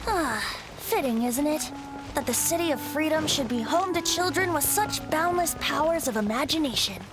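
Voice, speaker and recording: A woman's voice: high-pitched, lively intonation, normal speed, music in the background. A woman voicing a playful, teasing young male bard in a video game. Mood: wry.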